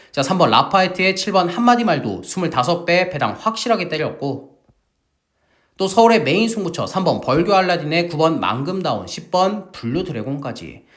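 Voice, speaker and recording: A middle-aged man hosting a horse racing tips broadcast, talking with emphatic, salesman-like energy to his viewers and just getting over a sore throat. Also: fairly high pitch. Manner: lively, emphatic salesman-like delivery, addresses viewers as family. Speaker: horse racing tipster host.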